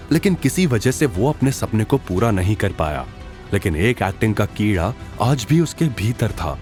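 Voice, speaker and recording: Low-voiced, animated male story narrator. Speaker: narrator of an audio drama series. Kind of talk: dramatic scripted Hindi story narration.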